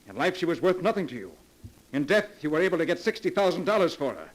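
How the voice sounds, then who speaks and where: A man's voice: on the high side, very animated, fast talker, poor audio. An actor playing a guilt-ridden, brooding man haunted by a killing in an old-time radio drama. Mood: angry. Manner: tense, anguished radio acting.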